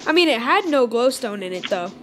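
A young woman with a fairly high voice, chatting casually as she walks through a game world. Her talk is upbeat and meandering, and a steady hiss sits under the recording. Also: fairly quick. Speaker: gaming channel host. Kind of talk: casual gameplay commentary.

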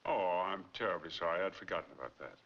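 A middle-aged actor playing a suave, solicitous husband in a 1940s film noir. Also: male voice, sits low, animated, fast, slight background noise. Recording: dull 1940s film soundtrack with music.

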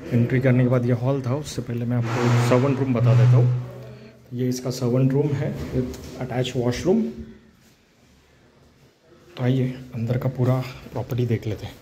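Man, even-pitched, casual property walkthrough on a phone, clear room noise behind. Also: unhurried, pauses often. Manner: casual, descriptive, pointing things out room by room. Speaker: property tour guide. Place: empty house, walking from room to room.

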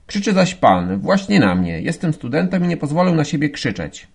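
A man's voice: fairly high pitch, very animated, moderate pace, vocal fry, breathy edge, pitched above the performer's own voice, full character voice. A man voicing an irritated, defiant young student protesting indignantly in an audiobook. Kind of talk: audiobook character acting.

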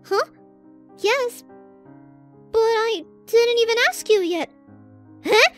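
Lady doing a sweet bashful anime student girl, super high and bright, bit feisty, asmr roleplay. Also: takes it slowly, faint music behind, heavily acted. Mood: flustered, tender. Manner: bashful, hesitant, flustered.

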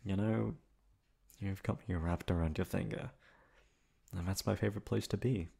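Man acting a lovestruck young delinquent with creaky voice. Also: low-pitched, fairly even intonation, warm tone, heavily acted. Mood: smitten, happy. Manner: hesitant, halting, tender. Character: lovestruck young delinquent confessing to his best friend. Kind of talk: intimate ASMR boyfriend roleplay.

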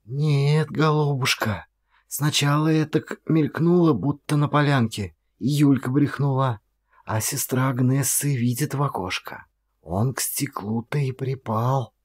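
Man voicing a husky, frightened whispering nun. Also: mid-range voice, a little slow. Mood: frightened, hushed. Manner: hushed, breathless, folksy chatter. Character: frightened gossipy nun whispering urgently at night.

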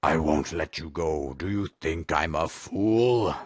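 A man's voice: rumbling low, takes it slowly, breathy edge, dry recording, voice pushed down, full character voice. A man playing a mean, boastful, hungry male dragon in a storybook, speaking with menace. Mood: menacing. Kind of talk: storybook character voice.

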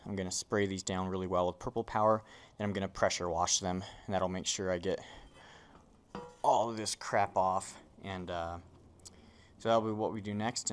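Young guy, very low voice, easygoing DIY walkthrough while working on the floor of his garage. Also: slight background noise. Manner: relaxed, many ums and uhs while working. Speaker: DIY mechanic host. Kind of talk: casual how-to explanation. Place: home garage, concrete floor. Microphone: camera on the floor nearby, hands busy.

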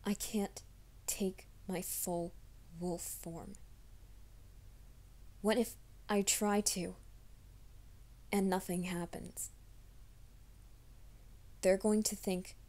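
A woman's voice: mid-range voice, steady tone, very slow, pauses often. A woman voicing a whispering young werewolf man. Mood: anxious whisper. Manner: soft, hushed, often whispering. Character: troubled young man, secret werewolf, pleading. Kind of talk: fan audio drama, ASMR roleplay.

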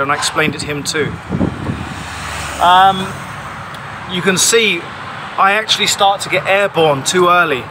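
A man in his forties giving an emphatic, insistent explanation to camera, recorded on a phone outdoors. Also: fairly high pitch. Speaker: paraglider pilot vlogging. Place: outdoors under a tarpaulin canopy. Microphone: phone at arm's length. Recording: clear outdoor ambient noise.

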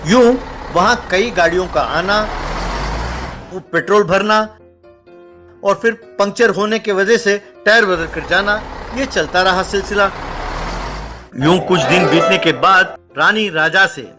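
A man narrating a scripted children's animated story. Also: sits high, a little slow. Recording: music under the voice.

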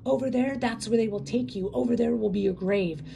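A woman voicing a furious, bitter male prisoner who snarls and shouts. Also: a little high, brisk, gravelly, background noise, voice pushed up.

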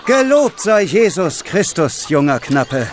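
A man's voice: on the high side, big pitch swings, slow pace, slight background noise. A man playing a pious, formal medieval castle chaplain in a children's audio drama, speaking solemnly. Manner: solemn, formal greetings and blessings.

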